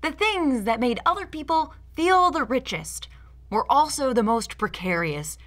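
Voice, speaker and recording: Sardonic female video essayist, American, animated pitch, forceful bright delivery. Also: measured pace. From Michigan, United States. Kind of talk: scripted video-essay narration.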